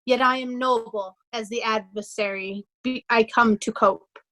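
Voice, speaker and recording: A wronged noble knight, played by a woman, with a high and forceful voice. Shakespearean verse comes out slowly and deliberately, as a righteous challenge. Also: fairly even intonation, poor audio. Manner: deliberate, declamatory. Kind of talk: Shakespearean reader's theatre.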